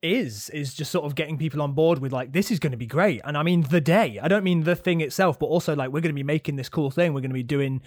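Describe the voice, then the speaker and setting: Energetic man, a director as guest. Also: on the high side, no room sound, clean studio sound. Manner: energetic, quick, laughs easily. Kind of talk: casual conversation.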